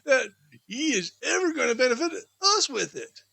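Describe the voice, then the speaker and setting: Man putting on a bright, animated young gentleman. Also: extremely high pitch, normal speed, gravelly, slightly rough, pitched above the performer's own voice, exaggerated voice. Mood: amused. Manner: animated, chatty, chuckling. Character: cheerful good-natured young nephew, a Victorian gentleman.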